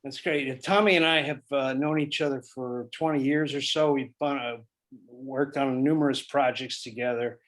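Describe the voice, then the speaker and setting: A man hosting a table read on a video call, polite and hesitant, with many pauses and fillers. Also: medium pitch, steady tone, a bit bright. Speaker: writer and host of the table read. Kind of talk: casual video call chat.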